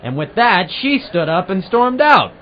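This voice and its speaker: An actor playing a hard-boiled, wisecracking private eye in an old-time radio detective drama, with a wry, sardonic delivery. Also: male voice, sits high, sing-song, a little slow, a little hiss or hum. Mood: narrating dryly. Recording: muffled amateur radio-drama recording with music.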